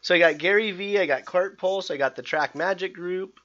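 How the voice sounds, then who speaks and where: A man hosting a podcast, chatting casually in an excitable, rambling way with many restarts. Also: high voice, normal speed. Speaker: podcast host. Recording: slightly dull lo-fi sound.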